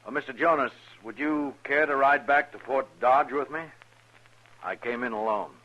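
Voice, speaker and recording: A man acting a courteous, proper army major in a radio western, husky and politely hesitant. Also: mid-range voice, normal speed, natural voice. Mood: embarrassed. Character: formal, courteous army major, awkwardly humbled. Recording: dull old radio recording.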